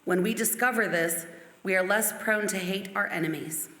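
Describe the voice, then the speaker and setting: A woman's voice: medium pitch, normal speed, fairly bright tone. A woman reading out famous quotations as a church member. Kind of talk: recited quotations. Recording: echoey hall, faint music. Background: faint music.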